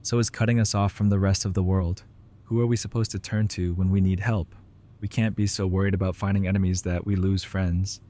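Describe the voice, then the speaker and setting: A man's voice: low-pitched, level tone, fairly quick, dark tone, natural voice. A man playing an earnest, idealistic councilman arguing for cooperation in an audio drama. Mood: insistent. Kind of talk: full-cast audio drama acting.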